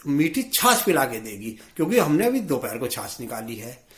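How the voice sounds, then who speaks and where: A man's voice: mid-range voice, very animated, normal speed, a bit bright. A man voicing a humble, helpful village bullock-cart driver in a moral story, homely and plain-spoken. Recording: roomy, echoey sound.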